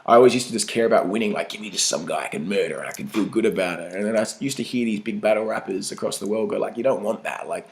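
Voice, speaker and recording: A young Australian man speaks in a low voice with a gravelly, creaky edge. He talks informally in slang, as if chatting to a documentary camera about his own experience. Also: rapid-fire, few pauses, a little hiss or hum. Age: young adult. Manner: informal, slangy, mild swearing. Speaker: battle rapper being interviewed. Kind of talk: casual documentary interview.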